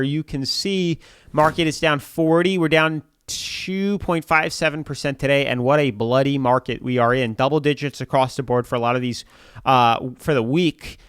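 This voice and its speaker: A congested man with a slightly high, smooth voice runs through market charts in a chatty, repetitive way. He keeps coming back to the same phrases. The sound is dry and close, with no room around it. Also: fairly bright tone, no echo. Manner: chatty, repeats filler "like", pushes viewers to subscribe. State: congested from a cold. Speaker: crypto channel host. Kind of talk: casual market commentary.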